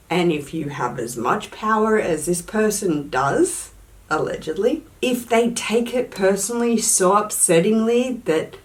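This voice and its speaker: A young woman, a YouTuber talking casually to camera. She stresses her points by repeating words and hedges her claims. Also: mid-range voice. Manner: uses "allegedly" often as a hedge.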